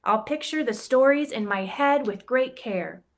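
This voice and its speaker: A woman reads a rhyming children's book aloud in a slightly high voice. She takes her time over each line, with a playful, expressive lilt that lets the rhymes land. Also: measured pace. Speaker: campus ministry director reading a picture book. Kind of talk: storybook read-aloud in rhyme.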